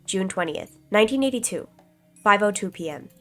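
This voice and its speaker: A woman streamer with a stuffy nose and a slight cough, reading a visual novel aloud in a calm, even way, with casual asides to her chat. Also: medium pitch, fairly quick, soft background music.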